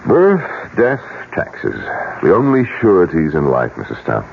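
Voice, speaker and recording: An actor playing a blunt, practical businessman with a husky voice and a forceful, combative manner in an old radio drama. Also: deep voice, wildly swooping pitch, measured pace, a little hiss or hum, full character voice. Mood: weary, wry. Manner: forceful, emphatic, rhetorical. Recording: tinny, narrow old radio recording.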